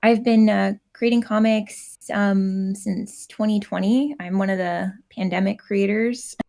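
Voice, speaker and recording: A soft-spoken woman, a guest comic creator on a video call, telling a personal story in a hesitant, casual way with many fillers. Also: medium pitch, fairly even intonation, measured pace, gravelly. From United States.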